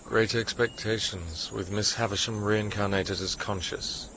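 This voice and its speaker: An actor playing an educated, ardent young lover with an English accent, in naturalistic radio drama. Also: male voice, lowish voice, steady tone, a little slow, bright, forceful tone, a little hiss or hum, rough recording. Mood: dismissive.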